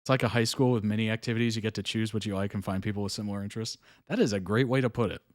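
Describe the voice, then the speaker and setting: A man's voice: on the low side, steady tone, rapid-fire, dry recording, clean studio sound. A man hosting a live reaction stream, chatting casually with viewers, laughing often and using lots of fillers.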